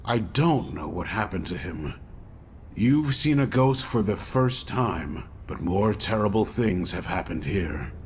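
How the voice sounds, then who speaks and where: Low, expressive investigator mentor. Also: male voice, measured pace, a little hiss or hum. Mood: reflective, then serious, explanatory. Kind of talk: scripted game cutscene dialogue.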